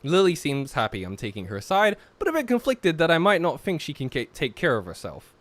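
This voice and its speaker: A man's voice: on the high side, wildly swooping pitch, a little fast, fairly bright tone, dry recording. A man casually joking and reading visual novel narration aloud as he streams a game. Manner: jokey, teasing.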